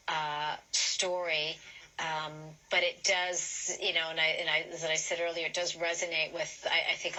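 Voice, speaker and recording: A woman's voice: low-pitched, bright, forceful tone, low-quality recording. A woman anchoring and conducting a broadcast news interview.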